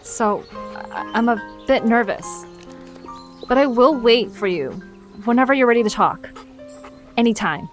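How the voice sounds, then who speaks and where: A woman's voice: on the high side, measured pace, long pauses, faint music behind, close to an everyday voice. A woman playing a friendly, tender-hearted and slightly nervous young woman in an audio drama, speaking sincerely. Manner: hesitant, sincere. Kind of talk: audio drama character acting.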